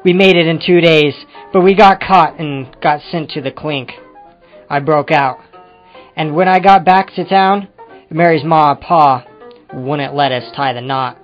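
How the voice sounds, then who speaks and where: An actor playing an evasive, touchy ex-convict in an old-time crime radio drama, speaking in a hesitant, defensive way. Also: male voice, a little high, a little slow, close to an everyday voice. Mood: nervous confessing. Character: evasive, touchy male ex-convict confessing to a detective. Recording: muffled amateur radio-drama recording with music.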